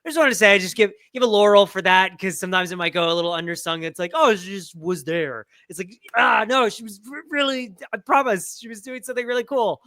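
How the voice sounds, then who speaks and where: A man co-hosting a podcast, giving casual soccer analysis in an animated, emphatic way. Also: sits high, quick pace, few pauses, dry recording, studio quality. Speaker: podcast co-host.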